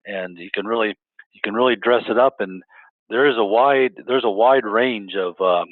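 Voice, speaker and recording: A man's voice: lowish voice, moderate pace, no echo. A man interviewing a guest, stumbling and repeating himself often as he talks. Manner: stumbling, many restarts and repeats. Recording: tinny dry phone-like line.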